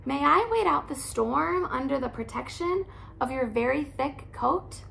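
A woman's voice: high-pitched, animated, a little slow, gravelly, a bit bright, slight background noise, voice pushed up, heavily acted, poor audio. A woman doing a polite snowshoe hare. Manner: polite and formal.

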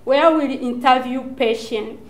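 A woman in her thirties with a Rwandan accent gives an earnest, prepared research talk as a doctoral student, phrasing things deliberately. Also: sits high. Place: small indoor lecture room, standing before a screen. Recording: echoey lecture room.

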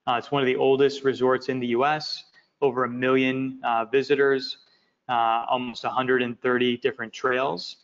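A man walking through presentation slides for an investment project, talking in a halting way with many fillers and repeated words. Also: medium pitch, little pitch movement, a bit bright. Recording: muffled lo-fi audio.

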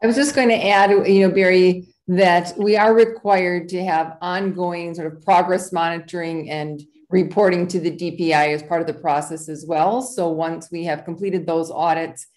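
Woman, low voice, flat delivery, school administrator, procedural, video call. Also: normal speed, hardly any pauses. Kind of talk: meeting explanation.

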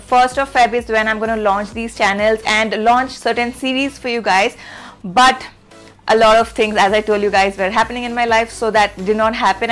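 A young woman with an Indian English accent, vlogging to camera in a chatty way that repeats itself, filmed in a small room at desk distance. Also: fairly high pitch. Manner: chatty, repeats herself, lively hand gestures. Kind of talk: casual direct-to-camera vlog.

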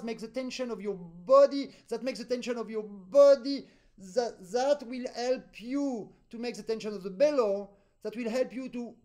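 A man with a French accent, a native French speaker, teaching an accordion master class over a video call, animated and pausing deliberately between phrases. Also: extremely high pitch. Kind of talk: online master class instruction.

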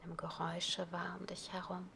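A woman yoga teacher softly whispering a guided relaxation, calm and unhurried. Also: lowish voice, gravelly, background noise. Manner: soft whispered, slow and calm. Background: ocean waves.

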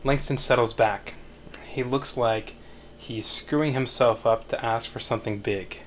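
Guy reading stage directions at a table read, average pitch, little bit of noise. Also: steady tone, a little slow. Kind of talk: scripted narration for screenplay table read.

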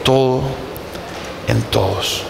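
A man's voice: mid-range voice, drawn-out words. A man preaches a sermon in short, emphatic phrases. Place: stone-walled garden stage with band gear. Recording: echoey, clearly noisy live room sound.

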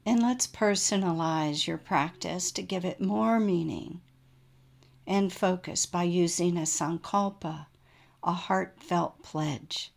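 A woman guiding a relaxation meditation as a yoga nidra teacher, in a gentle, soothing manner with unhurried pauses. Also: lowish voice, gravelly.

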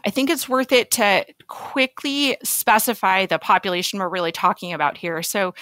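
A woman speaking as an expert medical guest in an interview, explaining in an articulate, measured way. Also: on the low side, sharp tone, dry recording.

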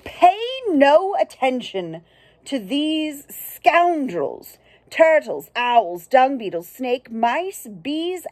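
Lady doing a fussy documentary narrator guy from a kids book, pitched up, slow n emphatic. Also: dry recording, full character voice. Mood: scornful, declaiming. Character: exasperated, pompous male documentary narrator in a picture book.

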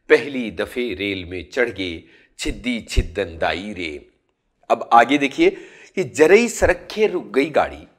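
A man in his forties, a radio host, recounting a memory and then reciting a rural poem in an expressive, sing-song way, recorded indoors on a lapel mic. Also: mid-range voice. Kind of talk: storytelling poetry recitation. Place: indoor studio room.

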